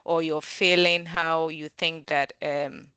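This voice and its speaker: A woman facilitating an online workshop over a video call, measured and full of um fillers. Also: low voice, little pitch movement, fairly bright tone, clear, resonant tone, no echo.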